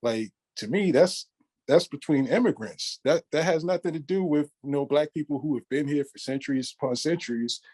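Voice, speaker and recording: A man's voice: on the high side, normal speed, a little mellow. A man co-hosting a podcast, speaking bluntly and dismissively after hesitant starts. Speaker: podcast co-host. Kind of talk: casual discussion.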